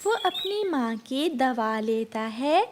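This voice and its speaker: A woman's voice: high voice, animated, takes it slowly, a little hiss or hum. A woman narrating a children's cartoon story from a script.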